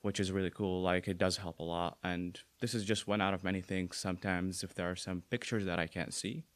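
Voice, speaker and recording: A young man, a native Arabic speaker with an Arabic accent, talking casually as an interview guest, relaxed and full of fillers and restarts. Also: deep voice, brisk, no echo. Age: young adult.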